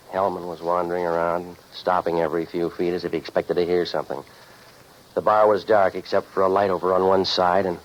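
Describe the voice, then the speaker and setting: An actor playing a cynical, deadpan private investigator in a scripted old-time radio detective drama. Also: male voice, sits low, lightly acted. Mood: dry, sardonic. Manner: deadpan, sardonic. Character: cynical hard-boiled private investigator, wisecracking loner. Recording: noisy muffled old radio recording.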